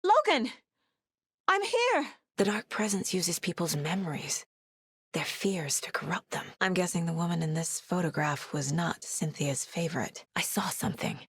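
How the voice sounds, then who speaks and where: A woman playing a determined, inquisitive FBI agent in a video game, speaking in measured, clipped phrases. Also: lowish voice, sing-song, husky, exaggerated voice. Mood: urgent shouting, then hushed, tense. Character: determined female FBI agent and mother, sharp, inquisitive investigator. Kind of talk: video game dialogue, investigative monologue.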